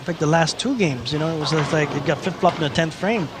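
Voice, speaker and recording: A man doing color commentary on a bowling match, reacting excitedly to each shot. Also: fairly high pitch, fast, noisy background. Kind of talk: live sports commentary. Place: bowling center.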